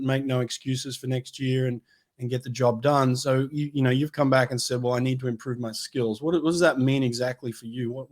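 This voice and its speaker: A man hosting an interview podcast, talking conversationally and often repeating words as he restarts. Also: mid-range voice. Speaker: host.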